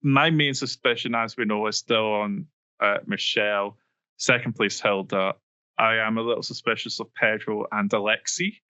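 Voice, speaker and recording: A man co-hosting a podcast, chatting casually and quickly with lots of ums and side remarks. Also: lowish voice, steady tone, bright, forceful tone, clear, resonant tone, dry recording, dull audio. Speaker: podcast co-host. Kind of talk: casual chat about a reality show.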